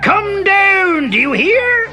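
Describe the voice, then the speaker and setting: A man voicing a stern village policeman who shouts and scolds a boy. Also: extremely high pitch, sing-song, slow, soft background music, pitched above the performer's own voice, exaggerated voice. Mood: scolding. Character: gruff village police constable, male adult, stern.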